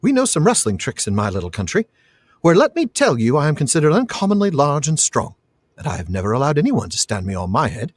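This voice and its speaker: A man's voice: fairly high pitch, huge pitch swings, fast, husky, dry recording, exaggerated voice. A man reading a novel aloud, voicing a small, plucky young halfling with a playful, mock-menacing manner.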